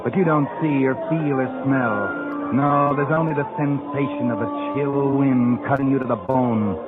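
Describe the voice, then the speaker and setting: A man's voice: medium pitch, steady tone, normal speed, phone-quality sound, natural voice. An actor playing a sinister, all-knowing narrator who mockingly needles a guilty man in a 1940s radio suspense show. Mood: sardonic, ominous. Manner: sardonic, needling, addresses the listener as the character. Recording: thin old radio recording, loud music behind.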